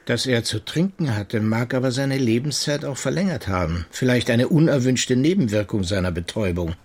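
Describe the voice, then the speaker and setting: A husky male superior in a radio drama, wry and reflective as he puts his questions. His pitch is medium and his voice rough-edged. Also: animated, moderate pace. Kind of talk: German audio drama dialogue.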